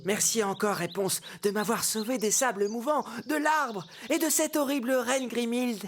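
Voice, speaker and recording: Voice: medium pitch, normal speed, close to an everyday voice. Cartoon dwarf, acted: simple, good-natured male, scatterbrained and earnest, music underneath. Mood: cheerful, naive.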